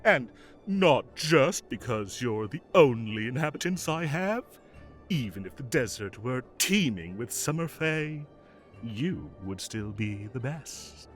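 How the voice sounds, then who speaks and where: A man's voice: mid-range voice, sing-song, takes it slowly, faint music behind. A man playing a whimsical fae king of summer in an audio roleplay, theatrical, playful and grand, with mock indignation and doting fondness for his cats.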